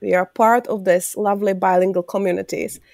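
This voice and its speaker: A woman from the Czech Republic who works as an educator, speaking earnest, halting English with a Czech accent in an interview. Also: medium pitch, steady tone, vocal fry, a little mellow, no echo, clean studio sound. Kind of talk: interview conversation.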